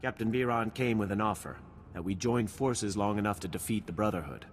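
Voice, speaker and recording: A man's voice: on the low side, brisk, close to an everyday voice. A man playing a serious, beleaguered outpost soldier in a science fiction video game. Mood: worried, urgent. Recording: reverberant hall, noisy game ambience.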